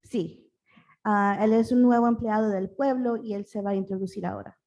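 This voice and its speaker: A woman serving as mayor, chairing a town council meeting in a brisk, informal way and interpreting into Spanish herself. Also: mid-range voice, steady tone, a little mellow.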